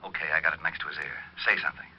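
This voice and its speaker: Gruff, deadpan cop, very low raspy voice, American, old-time radio drama, dulled recording. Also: male voice, racing, no echo. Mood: grave. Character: gruff, long-suffering police lieutenant, the detective's friend. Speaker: supporting actor in a radio detective drama. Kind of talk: 1940s-50s radio acting. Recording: dull vintage radio recording.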